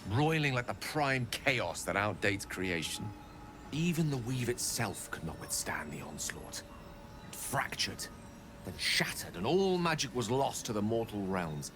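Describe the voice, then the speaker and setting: A learned wizard in a fantasy game, speaking on the low side. His delivery is florid and measured, an earnest, theatrical confession. Music plays clearly behind him. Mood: grave, awed. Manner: eloquent, florid, measured dramatic phrasing. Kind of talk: dramatic storytelling dialogue in a fantasy game.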